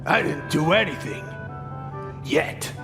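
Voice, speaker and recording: A menacing gym teacher in a visual novel game. The voice is gravelly and coaxing, with a threatening edge, ringing in a long reverb over music. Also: female voice, deep voice, animated, takes it slowly, heavily acted.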